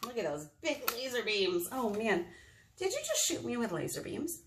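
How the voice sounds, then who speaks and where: Animated high-voiced American woman reading to kids. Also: moderate pace, background noise. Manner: animated, expressive, talking directly to small children. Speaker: children's librarian hosting storytime. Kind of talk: children's storytime read-aloud with singing. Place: small indoor meeting room. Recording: roomy indoor echo.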